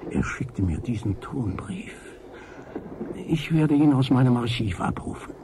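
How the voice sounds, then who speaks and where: An actor playing a quietly reflective old priest in a radio play, speaking in a hushed, deliberate way. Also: male voice, medium pitch, animated, noisy background, natural voice.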